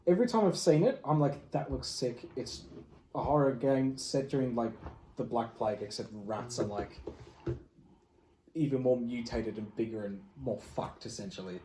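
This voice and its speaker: A man's voice: medium pitch, moderate pace, dark tone. Blunt man podcasting in a noisy, echoey room. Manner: blunt, slangy, sweary. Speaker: podcast co-host. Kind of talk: casual group podcast chat. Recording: echoing room with clear background noise.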